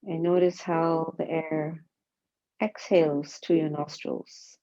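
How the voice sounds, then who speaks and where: A woman guides a body scan meditation, speaking calmly and gently in short, spaced phrases. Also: low-pitched, slow. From Singapore. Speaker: mindfulness meditation teacher. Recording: dull, muffled lo-fi sound.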